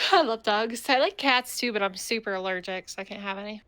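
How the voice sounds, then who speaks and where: A woman's voice: mid-range voice, fairly quick, a bit bright, dry recording. A woman chatting casually with other players during a roleplay game, easygoing and full of "like" fillers, a little stuffy from allergies. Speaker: roleplay streamer. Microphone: headset or desk mic.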